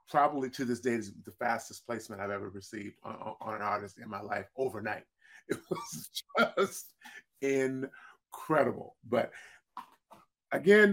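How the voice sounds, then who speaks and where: A man with a deep voice, a singer-songwriter, telling stories in a relaxed interview and often restarting his words. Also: vocal fry, husky. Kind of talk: casual interview storytelling.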